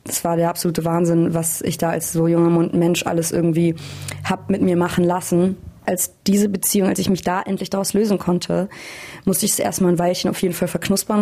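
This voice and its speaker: A woman's voice: fairly low pitch, dark tone. A young woman from Hamburg, a singer and guest, talking candidly in a casual interview and laughing at herself. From Hamburg, Germany.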